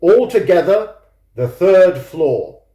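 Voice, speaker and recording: Slow, animated male storyteller in roomy sound. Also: high-pitched. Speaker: author introducing and reading own book. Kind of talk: scripted introduction and literary story reading.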